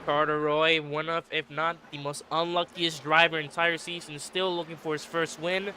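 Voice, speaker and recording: A man commentating a sim race play-by-play. He sounds a little stuffy from being sick, and he gets excitable at restarts and wrecks. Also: a little high, normal speed, noisy background.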